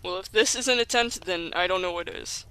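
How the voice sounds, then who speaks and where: A woman's voice: fairly low pitch, moderate pace, bright, forceful tone, smooth, no echo, low-quality recording. A woman playing a level-headed young human traveler in an audio drama, casual, dry and matter-of-fact. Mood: confident, amused.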